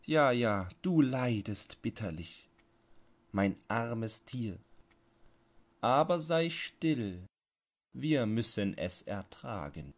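A man voicing a disturbed, lonely man in an audiobook, consoling his dog in a whining, plaintive way with drawn-out words. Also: medium pitch, very animated, pitched above the performer's own voice, full character voice. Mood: tender pity. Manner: slow, drawn-out, stammering consolation. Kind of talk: audiobook character dialogue.

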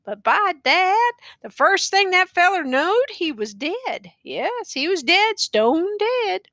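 A woman's voice: very high voice, very animated, slow, fairly bright tone, dry recording, voice pushed up, full character voice. Woman voicing a slurring, rambling wounded soldier. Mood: rambling, fretful. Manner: slurred rambling folksy dialect. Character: rambling wounded old-fashioned male soldier.

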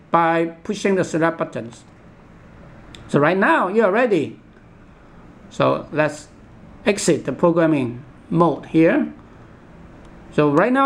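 A man giving an unscripted step-by-step product demonstration in a halting, repetitive instructional manner. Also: high voice. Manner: halting, repetitive, instructional, with imperfect English grammar.

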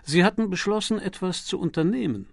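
A man voicing a dry, authoritative medical specialist in an audiobook, questioning a patient in a measured, formal, clinical way. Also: high voice, animated, creaky, dry recording, voice pushed up, exaggerated voice. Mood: probing, clinical. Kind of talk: audiobook character dialogue.

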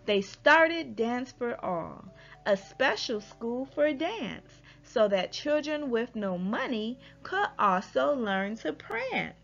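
A woman reading a rhyming children's story aloud in an expressive, deliberate storytelling manner. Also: on the high side, vocal fry. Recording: dull lo-fi audio with music behind.

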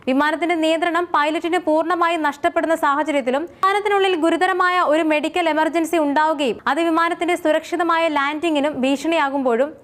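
A woman's voice: sits high, fairly bright tone. A woman reading a scripted explainer as its narrator.

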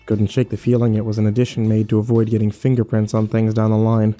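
A man's voice: lowish voice, monotone, quick pace, warm tone, soft background music, lightly acted. A man playing a weary, sarcastic young survivor who narrates and talks in an informal first-person audio drama. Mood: wry, weary. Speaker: actor and narrator.

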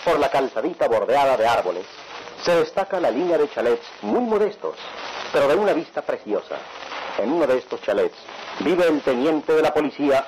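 A man narrating a radio soap opera as announcer, with dramatic scripted delivery. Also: medium pitch. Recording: old noisy radio recording.

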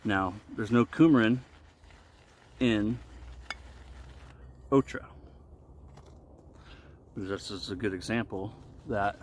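A man in his forties giving a casual explanatory talk to camera as a vlogger, with deliberate, halting phrasing, recorded outdoors. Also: lowish voice. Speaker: vlogger reviewing shaving products. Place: outdoors in a wooded park clearing. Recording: noisy outdoor ambience. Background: outdoor ambient noise.

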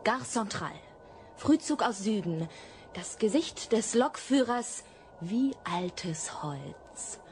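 An actress playing a dreamy, poetic voice in a German radio drama, reciting in fragmentary, lingering phrases. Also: female voice, mid-range voice, animated, slow pace, darkish tone, slight background noise. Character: dreamy, poetic female voice describing a city. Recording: faint music behind the voice.

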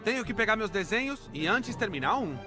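A man playing an earnest, excitable teenage boy inventor in an animated film dub, with a Brazilian accent. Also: sits high, a little fast, over music. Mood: determined. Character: earnest, eager, inventive boy in his teens.

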